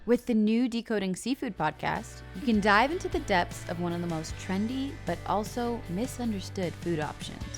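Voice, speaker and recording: A woman's voice: medium pitch, animated, normal speed, few pauses. Woman reading a promo over music. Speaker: promo announcer. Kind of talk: scripted promotional narration. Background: guitar music bed.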